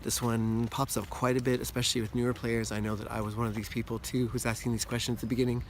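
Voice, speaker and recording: A man with a slightly hoarse, under-the-weather voice, talking casually and at a medium pitch. He explains things in a loose, rambling way, full of little asides. A faint hum of room ambience sits behind him. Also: quick pace. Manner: relaxed, rambling explanation with frequent "you know" and "like". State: hoarse from a cold. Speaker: yo-yo channel host. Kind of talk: casual explanatory talk to camera.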